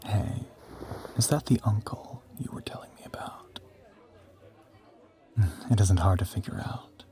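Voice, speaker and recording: A low, half-whispered male voice for an ancient vampire played by a man in an intimate audio roleplay. He is suave and smug, choosing formal, theatrical words to tease his listener. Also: unhurried, natural voice. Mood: amused, conspiratorial.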